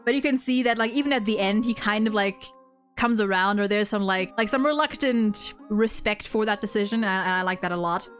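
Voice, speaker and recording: A woman's voice: medium pitch, a little fast. A woman chatting casually as host, giving opinionated fan commentary in a rambling, gushing, sweary way. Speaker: host of a game story ranking discussion. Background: background music.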